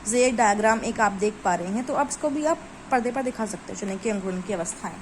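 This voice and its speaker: A woman's voice: mid-range voice, lively intonation, fast, background noise. A woman teacher explaining a lesson, reading out textbook phrases and then rephrasing them in casual Hindi mixed with English words. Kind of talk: explaining from study notes.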